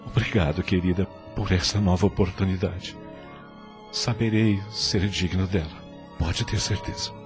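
A man's voice: deep voice, fairly even intonation, a little slow, faint music behind. A Brazilian man playing a repentant, devoted husband in a radio soap, solemn and heartfelt. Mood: grateful.

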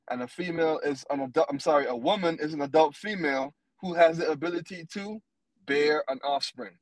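A man in his thirties talks in a casual podcast chat, blunt and animated, with plenty of cursing. His voice sits on the higher side for a man. The sound is dry and close to the mic. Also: no echo, poor audio. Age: 30s. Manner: animated, blunt, profane storytelling. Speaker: podcast co-host.

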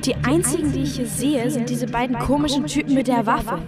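A woman's voice: sits high, fairly even intonation, brisk, close to an everyday voice. A young schoolgirl playing a snippy, quarrelsome girl in a school radio play. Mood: accusing. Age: child or preteen. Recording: loud music behind the voice.

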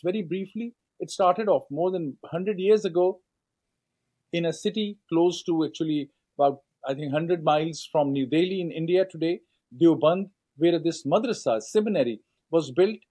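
A man with a Pakistani accent, an author and professor explaining his book in an interview, measured and lecturing, with frequent fillers and restarts. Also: high-pitched, dark tone, slightly rough.

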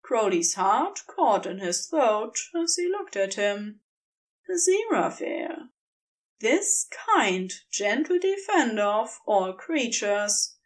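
A woman narrating scripted fiction in an expressive, dramatic storytelling manner. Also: a little high, slow.

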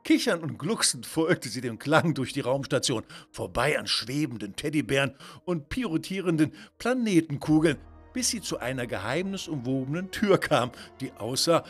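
A man narrating a children's audio story from a script in a playful, expressive, theatrical way. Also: a little high, breathy edge.

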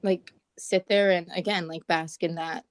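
A woman with a raspy morning voice chatting casually as a podcast co-host, talking with lots of "like" and "um". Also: fairly low pitch, fairly even intonation, a little slow, no room sound, studio quality. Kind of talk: casual chat. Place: at home in Portland.

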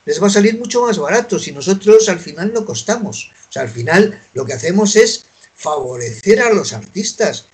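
A man's voice: high voice, expressive, moderate pace, husky. A man who heads an artists' association, speaking earnestly in a panel discussion with frequent hesitations and fillers.

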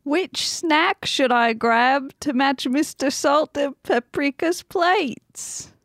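A woman voicing a calm female talking clock who speaks slowly and soothingly. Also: high voice, no echo, clean studio sound, heavily acted.

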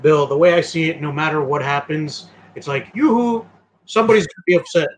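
A man's voice: on the high side, brisk, slight background noise, low-quality recording. A man who teaches, co-hosting a podcast with joking, exasperated banter. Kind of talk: casual podcast chat.

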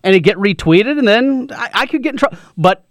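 A man with a fairly high voice chats casually on sports radio, stumbling through restarts and fillers. The sound is dry and close. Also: expressive, no room sound. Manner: halting, fillers and restarts, agreeable.

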